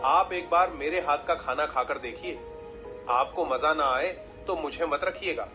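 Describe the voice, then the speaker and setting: A man's voice: a little high, measured pace, music in the background, lightly acted. A man playing a humble, earnest young village husband in a cartoon, speaking respectfully. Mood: eager, polite.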